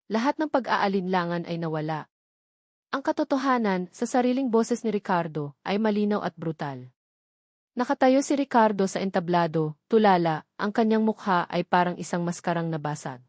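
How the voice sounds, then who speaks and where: A Filipino woman narrates a dramatic love story in a measured, expressive way. Her pitch sits in a middle female range. The recording is close and dry, with hardly any room sound. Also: dry recording.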